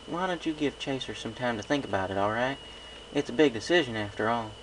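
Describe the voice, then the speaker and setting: A man plays a grown country mare, a protective big sister type. The voice sits above a low male register, with a folksy drawl and lively storybook phrasing. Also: fairly quick, background noise, close to an everyday voice. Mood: calm, protective.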